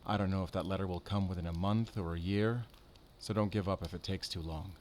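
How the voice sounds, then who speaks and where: A man playing a brooding, tender but guarded werewolf hunter in an intimate audio roleplay, speaking softly and haltingly. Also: low voice, fairly even intonation, slight background noise, close to an everyday voice. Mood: conflicted, resolute. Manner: soft, halting, intimate, earnest delivery.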